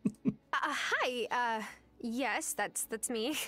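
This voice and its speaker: A woman's voice: a little high, extremely slow, a bit bright, exaggerated voice. A woman voicing a friendly young newcomer in a farming video game. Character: friendly young woman newly arrived on a farm. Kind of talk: video game character acting.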